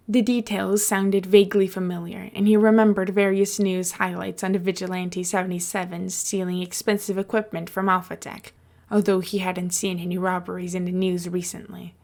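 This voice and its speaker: A woman's voice: fairly low pitch, vocal fry, a little mellow. A woman reading scripted fiction aloud as an audiobook narrator.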